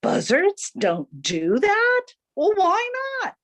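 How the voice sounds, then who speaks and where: A woman's voice: very high-pitched, huge pitch swings, slow, pitched above the performer's own voice, heavily acted. A woman voicing a cheerful male chicken hawk in a folktale, bubbly and coaxing. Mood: cheery coaxing. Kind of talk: folktale telling.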